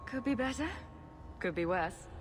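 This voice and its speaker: A woman's voice: fairly high pitch, animated, normal speed, big-room sound, noisy background, close to an everyday voice. An actress voicing a wry, self-assured young woman adventurer in a video game, with a teasing manner. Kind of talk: video game character dialogue.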